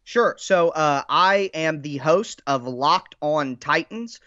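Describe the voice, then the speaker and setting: A man's voice: on the high side, lively intonation, slow, fairly bright tone, no room sound, lo-fi sound. A man with a slightly nasal voice, a podcast guest giving earnest, detailed football analysis in casual chat. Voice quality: a little nasal. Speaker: podcast guest, team podcast host. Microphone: remote call.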